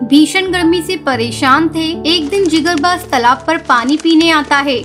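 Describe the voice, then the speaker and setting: A woman narrating a children's cartoon with a high, clear voice. She keeps a steady storybook pace, with soft music under her. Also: a little slow.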